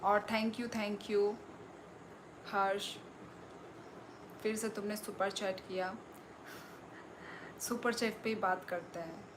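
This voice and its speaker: Casting: young woman with a Northeast Indian accent, unhurried live-stream host, faint room hiss behind her. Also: medium pitch, fairly even intonation. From Tripura, Northeast India. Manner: chatty, reads out viewer comments and thanks them. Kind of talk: casual live-stream chat.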